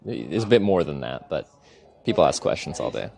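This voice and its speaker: A man's voice: a bass voice, very animated, brisk, creaky, a little mellow. A man from Canada with a Canadian accent, casually rambling as he reads out and answers questions as a live streamer. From Vancouver Island, Canada. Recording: noisy live-stream background with clicks.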